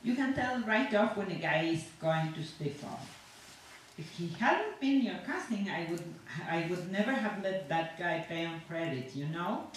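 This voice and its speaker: A woman's voice: fairly low pitch, animated, measured pace. Woman playing a chatty, menacing dentist, very echoey. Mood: grumbling, proud. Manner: rambling, falsely reassuring, hesitant non-native English. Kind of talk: dramatic monologue read from a short story. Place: large indoor venue at a university. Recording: echoey, distant, noisy live room.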